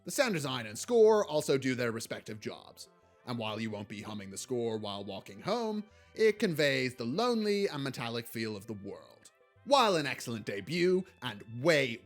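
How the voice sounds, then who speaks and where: A man giving a scripted video essay review as a film critic, in a measured, articulate and analytical way. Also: mid-range voice. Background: background music.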